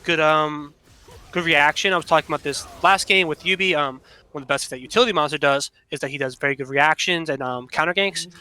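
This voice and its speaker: A man's voice: a little high, brisk, sharp tone, clear, resonant tone. A man giving casual live analysis and banter as a commentator on a video game match.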